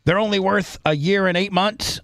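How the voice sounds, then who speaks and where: A man's voice: high-pitched, steady tone, normal speed, a bit bright. A man hosting a talk show with heated, opinionated commentary, blunt and crude, repeating phrases for emphasis.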